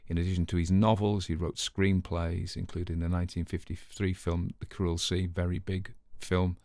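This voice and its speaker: A man's voice: low voice, a little mellow, no echo, studio quality. A man hosting a story reading, giving informal literary commentary in a chatty manner with offhand asides. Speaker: host and story reader.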